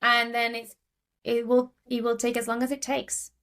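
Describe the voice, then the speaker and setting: A woman's voice: fairly high pitch, completely flat delivery, moderate pace, fairly bright tone. A woman from Argentina with an Argentinian accent, chatting casually as a podcast guest with many fillers and restarts. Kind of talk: casual interview talk.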